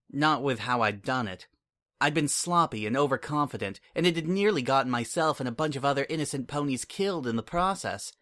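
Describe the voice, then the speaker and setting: A reader giving a dramatic first-person reading of fiction, measured and reflective. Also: medium pitch, a bit bright. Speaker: audiobook reader.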